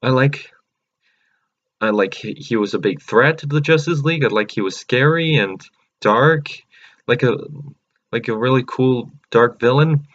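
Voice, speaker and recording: Excited rambling man reviewing a movie. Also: medium pitch, measured pace, lo-fi sound. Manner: excited and rambling, many fillers and restarts. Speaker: channel host. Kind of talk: casual movie review vlog.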